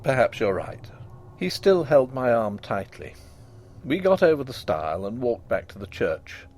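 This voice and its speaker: A man's voice: medium pitch, very animated, moderate pace, darkish tone. A man reading a gothic ghost story aloud with dramatic, suspenseful delivery.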